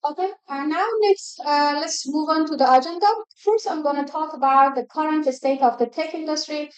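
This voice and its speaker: A woman from Afghanistan giving a measured conference presentation with frequent hesitations. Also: sits high, a little mellow, slight background noise, poor audio. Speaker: guest speaker. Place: event stage.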